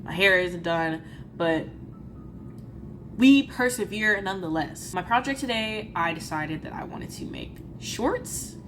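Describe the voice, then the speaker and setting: A woman's voice: on the low side, animated, a little slow, background noise. A woman with a nasal, congested voice from a cold, chatting playfully and poking fun at herself while vlogging about her crafting. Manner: chatty, playful and self-mocking. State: congested, stuffy-nosed from a cold. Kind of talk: casual vlog chat and voice-over.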